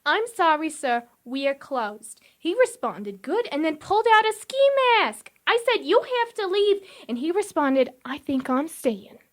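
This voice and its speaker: A woman voicing an excitable young bank teller who tells her story animatedly. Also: high-pitched, unhurried, few pauses. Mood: excited.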